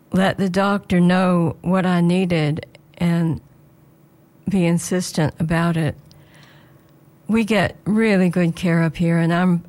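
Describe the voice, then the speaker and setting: Slightly hoarse woman presenter. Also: fairly low pitch, a little mellow. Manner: earnest, prayerful, conversational. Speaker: radio presenter.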